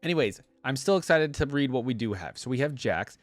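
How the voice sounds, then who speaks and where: A man's voice: mid-range voice, expressive, fairly quick, dry recording. A man with an everyday mid-range voice hosts a casual reaction video. He talks informally and chattily, like a keen fan. The sound is close and dry, with faint music behind him. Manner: chatty, informal, easily impressed fan talk. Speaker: YouTube reaction host. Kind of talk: casual reaction commentary, reading wiki aloud.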